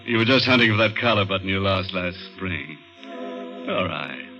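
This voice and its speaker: A wry, ghoulish radio mystery host with a low voice. He teases the listener in a mock-friendly, macabre way. Music plays behind him on a muffled old recording. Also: expressive, normal speed, bright, forceful tone. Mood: macabre teasing. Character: sardonic, teasing male horror show storyteller. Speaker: host narrator. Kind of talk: 1950s radio mystery hosting.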